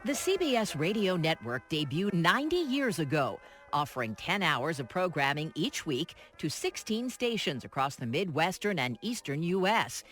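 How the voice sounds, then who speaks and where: American woman, news correspondent, scripted feature narration, crisp bright tone, lively pitch movement. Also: moderate pace. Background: music.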